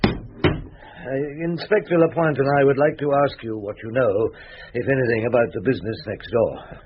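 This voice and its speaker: An actor playing a calm, polite, unhurried chief inspector questioning witnesses in a radio drama. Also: male voice, medium pitch, close to an everyday voice. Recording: dull radio recording with noise.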